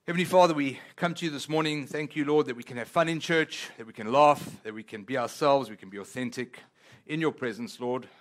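Lively South African-born pastor preaching. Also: male voice, mid-range voice. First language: Italian. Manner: lively and humorous, slows down for scripture. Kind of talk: sermon with prayer and scripture reading. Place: church auditorium with congregation.

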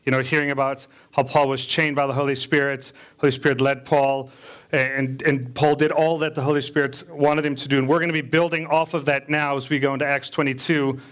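A man preaching from a church stage, born in South Africa and raised in the American Midwest. He talks loosely and personally, with plenty of uh and um, and jokes about himself as he tells his story. His voice sits at a middle pitch. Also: level tone, fairly quick, fairly bright tone. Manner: conversational, with frequent fillers and self-deprecating asides. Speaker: deacon preaching. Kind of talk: sermon with personal testimony.

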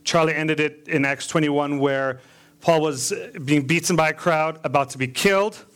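A man's voice: a little high, fairly even intonation, moderate pace, a bit bright, smooth. A man from South Africa who grew up in the American Midwest, preaching as a deacon in church and telling his own testimony in a conversational way with frequent fillers and humorous asides. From born in South Africa, raised in the US Midwest. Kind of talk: sermon with personal testimony. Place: church stage.

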